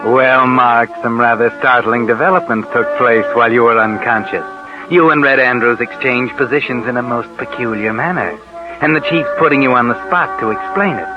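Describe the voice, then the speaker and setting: An actor voices an ominous American male narrator, knowing and sardonic, with a medium-pitched voice. He needles in 1940s radio suspense style over loud music on a dull old broadcast. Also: moderate pace, close to an everyday voice. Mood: ominous, needling. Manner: knowing, insinuating.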